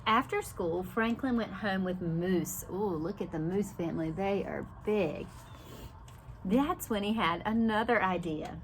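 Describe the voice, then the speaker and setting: A woman with a mid-pitched voice reads a picture book aloud for preschoolers. She is animated and speaks directly to the children, explaining and asking as she goes. There is noticeable ambient noise behind her. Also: measured pace, low-quality recording. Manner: animated, explains and questions young listeners directly. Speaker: preschool teacher reading aloud.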